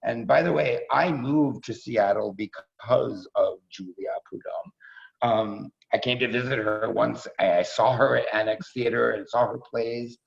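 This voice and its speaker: A man's voice: mid-range voice, a little slow, creaky. A middle-aged man casually introducing performers as host of a staged reading over a video call, effusive and repeating himself for emphasis. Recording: dull video call audio.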